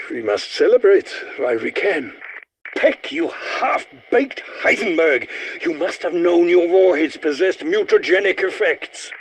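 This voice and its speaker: An actor playing an aging, polite but indignant German scientist in a video game, speaking in a formal, pedantic, theatrical way with a German accent. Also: male voice, medium pitch, slight background noise, poor audio. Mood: indignant, scornful. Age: older. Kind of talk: video game character voice lines.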